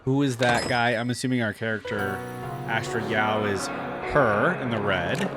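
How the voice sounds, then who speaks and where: A man reacting to videos as a host, effusive and slangy, thinking out loud in casual commentary. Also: fairly low pitch, measured pace. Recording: game audio and music behind.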